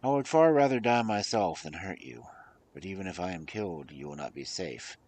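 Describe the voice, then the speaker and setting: A man's voice: deep voice, lively intonation, brisk, darkish tone, slightly rough. A man voicing an honourable, troubled captain of the guard in a storybook. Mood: resolute. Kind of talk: storybook character voice.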